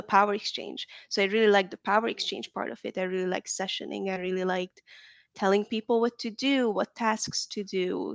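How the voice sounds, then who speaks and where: A woman's voice: medium pitch, vocal fry, no echo. A woman from Hungary, chatting casually as an interview guest, full of likes and ums. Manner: chatty, frequent "like" and "um".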